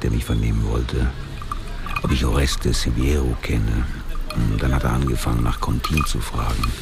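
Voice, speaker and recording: A man's voice: very deep voice, creaky. An actor playing a coarse, cynical, ailing small-time crook, telling his story in a crime radio play. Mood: contemptuous. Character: bitter, foul-mouthed, ailing small-time crook hunted by police. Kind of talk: first-person narration in a crime radio play.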